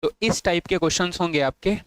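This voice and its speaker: A man's voice: high voice, fast talker, a bit bright, clear, resonant tone, no room sound. A man teaching an online exam-prep lesson, repeating points and asking and answering his own questions, with English phrases mixed into his Hindi.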